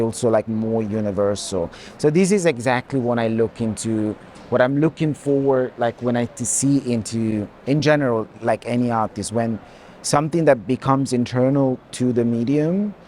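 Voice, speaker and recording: A man speaking as an art curator, reflective and halting as he searches for words in unscripted commentary. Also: medium pitch, a little mellow. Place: art fair, walking tour. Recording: background noise of a busy hall.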